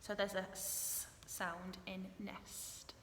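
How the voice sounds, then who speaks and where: Slow, bright-voiced woman teaching young children. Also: medium pitch, level tone. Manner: deliberate, instructional, questioning. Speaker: early years teacher. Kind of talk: storybook narration and phonics lesson for young children. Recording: echoey hall-like room with noise.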